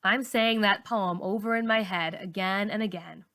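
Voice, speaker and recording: Bright-voiced woman reading a story aloud. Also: medium pitch, normal speed. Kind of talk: expressive storybook narration.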